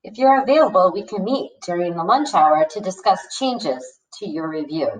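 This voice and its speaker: A woman reading as an easygoing male teacher in a storybook, chummy and gently corrective. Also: fairly low pitch, expressive, moderate pace, reverberant, close to an everyday voice. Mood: gently chiding. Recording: distant microphone.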